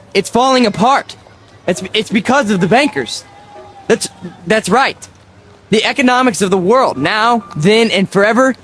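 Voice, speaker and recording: An actor playing a young man ranting on a street corner, stammering nervously and then preaching with slow, heavy emphasis. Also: high voice. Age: young adult. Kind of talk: radio drama acting.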